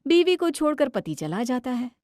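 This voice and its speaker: A woman's voice: high-pitched, sing-song, moderate pace, a little mellow, dry recording, clean studio sound. A woman storyteller giving dramatic narration for a cartoon story.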